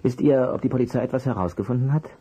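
A man playing a polite, persistent private detective in an audio drama, with a husky voice and a courteous, probing way of asking. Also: medium pitch, very fast, dark tone. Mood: probing, thoughtful. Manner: courteous, probing, hesitant at times. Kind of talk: German audio drama acting.

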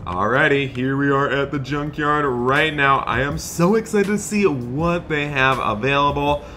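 A man narrating an improvised game roleplay as an excited, friendly dad, chatty and full of exclamations. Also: mid-range voice, unhurried, slight background noise. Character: excited friendly dad shopping for project cars. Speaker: host of a gaming roleplay channel.

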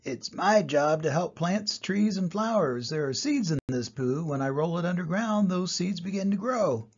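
A man's voice: high-pitched, animated, moderate pace, slightly rough, highs cut off, voice pushed up, exaggerated voice. A grandfather voicing an earnest little dung beetle. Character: busy cheerful male dung beetle.

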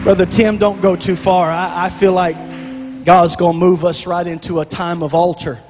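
A middle-aged man preaching on a church stage into a handheld mic, with a shouting, half-sung preacher cadence that drops to quiet prayer. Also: high-pitched, little pitch movement, normal speed. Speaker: pastor. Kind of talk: Pentecostal sermon and prayer. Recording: long church reverb, music behind.

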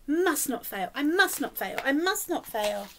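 A tiny, plucky girl snail from a storybook, played by a woman reader, cries out urgently in a high voice. Her pitch leaps about with determination. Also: very animated, measured pace, bright, forceful tone, slight background noise, heavily acted. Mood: determined.

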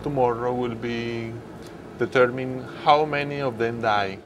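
A man's voice: mid-range voice, steady tone, measured pace, muffled recording, background noise. A man, a researcher explaining a lab procedure. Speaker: graduate student researcher. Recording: clear music behind the voice.

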